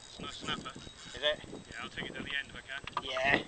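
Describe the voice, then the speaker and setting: A man's voice: mid-range voice, level tone, brisk, far from the mic, noisy background. A man chatting casually and swearing in a matey way while fishing outdoors, recorded on a handheld camera. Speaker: amateur angler on holiday. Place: outdoors on a seaside road bridge and beach.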